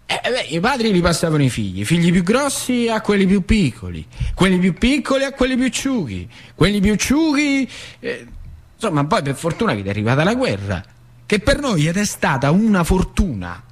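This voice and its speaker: A man's voice: high voice, wildly swooping pitch, moderate pace, heavily acted. An actor playing an old working-class factory man with a central Italian accent from northern Lazio, rambling and confiding as he reminisces in a theatrical monologue. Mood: wry, confiding. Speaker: stage actor.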